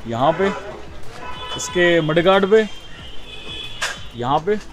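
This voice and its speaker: A young man, vlogging casually into a phone at arm's length, chatting with lots of fillers. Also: sits high. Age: young adult. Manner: chatty, fillers like yaar and guys. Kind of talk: casual vlog chat. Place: busy outdoor market street. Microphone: phone held at arm's length. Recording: loud street crowd behind. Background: crowd and traffic noise.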